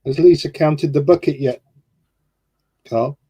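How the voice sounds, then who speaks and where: A man's voice: on the high side, measured pace, dark tone, slightly rough. A man co-hosting a casual model-building chat, matter-of-fact as he explains his building steps.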